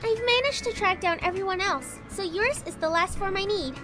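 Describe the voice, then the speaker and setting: A sweet, modest teenage girl from a visual novel game, speaks in an extremely high voice. She sounds helpful and earnest, and music plays clearly behind her. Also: moderate pace.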